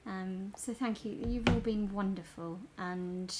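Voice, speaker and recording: A woman streamer in casual chat, effusive but halting as she gathers her words. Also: medium pitch, steady tone, over loud music, poor audio. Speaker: video game streamer.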